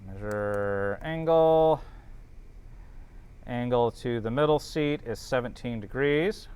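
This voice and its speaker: A man's voice: mid-range voice, takes it slowly, darkish tone, smooth. A man teaching an informal class, thinking aloud as they work through calculations and checking in with students.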